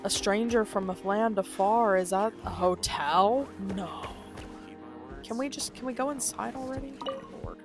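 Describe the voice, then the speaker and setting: A woman's voice: medium pitch, unhurried, loud music behind the voice. A woman giving casual, musing live commentary as she streams a video game, with exasperated asides.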